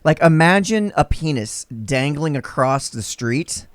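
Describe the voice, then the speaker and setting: A man's voice: on the high side, expressive, measured pace, no echo. Playful mock-serious man hosting a podcast. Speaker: podcast host. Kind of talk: joking podcast banter. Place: small home studio room. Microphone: studio mic on a stand, wearing headphones.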